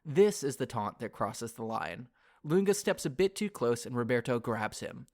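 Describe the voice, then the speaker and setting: Male podcast co-host, middle-pitched, regular voice of a discussion show. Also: sing-song, moderate pace, darkish tone. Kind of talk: casual chat.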